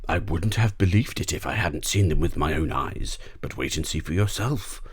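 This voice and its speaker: A man's voice: extremely low pitch, expressive, moderate pace, husky, heavily acted. A man voicing an indignant, gossiping wild boar in a storybook. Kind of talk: storybook character voice.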